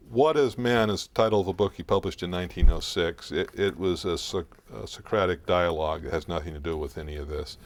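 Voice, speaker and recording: A man with a low, gravelly voice answers as an expert in an informal interview. He explains things in a deliberate, scholarly way, often halting and feeling for the next phrase. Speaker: author and expert guest.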